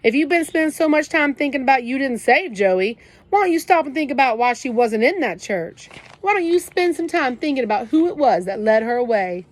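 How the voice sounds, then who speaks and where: A woman doing a gruff, streetwise teen brother. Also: high voice, lively intonation, a little fast, voice pushed up, rough recording. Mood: gruff comforting. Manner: streetwise slang, scolding then comforting. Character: tough teenage big brother, gruff but protective.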